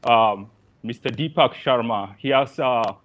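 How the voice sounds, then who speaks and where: A young man from Nigeria, a civil engineering student, speaking politely and measured with fillers on a video call. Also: medium pitch, a bit bright, highs cut off. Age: young adult. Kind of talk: webinar self-introduction and testimony.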